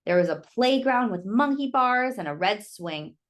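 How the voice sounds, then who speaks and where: A woman reading a children's story aloud in an expressive, emphatic storytelling manner. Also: mid-range voice, normal speed. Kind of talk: expressive read-aloud narration with brief asides.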